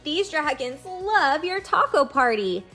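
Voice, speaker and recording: A woman's voice: high-pitched, very animated, slow, bright, forceful tone, faint music behind. A woman hosting a read-aloud lesson for young children, speaking to them directly in simple words, as a teacher reading a picture book. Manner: addresses children directly with simple explanations and questions.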